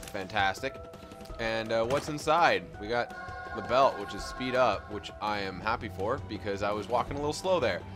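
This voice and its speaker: A young man hosting a let's play, chatting casually and self-deprecatingly with many restarts while he plays. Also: fairly low pitch. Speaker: gaming channel host. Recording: game music playing behind.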